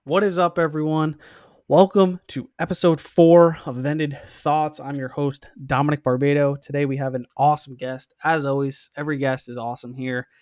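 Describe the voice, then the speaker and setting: Young podcast host, close dry sound. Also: male voice, fairly high pitch, level tone, moderate pace, a little mellow, dry recording. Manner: informal, chummy slang. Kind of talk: casual interview chat.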